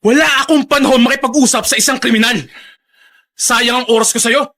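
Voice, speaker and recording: An actor playing an arrogant, spiteful rich young son in a Filipino radio drama, speaking with a Filipino accent in a scornful, commanding manner. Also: male voice, extremely high pitch, steady tone, brisk, bright, forceful tone, heavily acted. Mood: cold, dismissive. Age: young adult.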